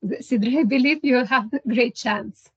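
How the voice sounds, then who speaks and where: Brief: breathy, higher woman, career advisor, upbeat and encouraging, lo-fi video call. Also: a little slow, dry recording. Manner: encouraging, gives advice with light laughs. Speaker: seminar presenter, career advisor. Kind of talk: online seminar Q&A.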